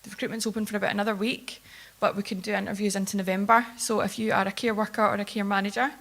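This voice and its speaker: Woman speaker at a conference, mid-pitched, steady delivery, occasional ums. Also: fast. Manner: steady, plain-spoken, with filler ums. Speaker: care home researcher. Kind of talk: conference presentation. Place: conference hall.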